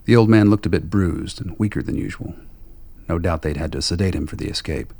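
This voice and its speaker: A man's voice: sits low, fairly quick, vocal fry, darkish tone. A man reading scripted radio play narration in a wry, sardonic manner. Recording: faint background noise.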